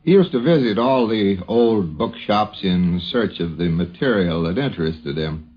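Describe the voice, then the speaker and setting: An older man reminiscing as an interviewee in a deliberate, literary way. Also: low-pitched, animated. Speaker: interviewee recalling an old friend. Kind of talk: measured spoken reminiscence. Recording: dull 1950s radio recording.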